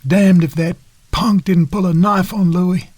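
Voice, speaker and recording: Drifter storyteller, noticeably high pitch, slangy plainspoken narration for an audio play. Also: male voice, steady tone, a little slow, darkish tone, no room sound. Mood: reflective. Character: streetwise male drifter retelling his youthful adventures.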